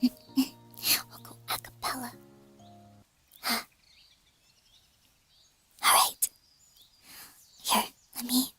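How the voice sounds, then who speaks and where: Soft, high-voiced whispering woman. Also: little pitch movement, husky, faint music behind. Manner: gentle, unhurried, chatting to listeners. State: hoarse. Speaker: streamer performing as a flower fairy character. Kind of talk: soft ASMR roleplay, whispering and a cappella lullaby singing.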